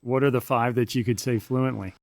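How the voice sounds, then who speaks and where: A man hosting a podcast, asking a guest brisk, friendly interview questions. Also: medium pitch, fairly even intonation, darkish tone, no room sound. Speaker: podcast host. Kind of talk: interview questions, rapid-fire segment.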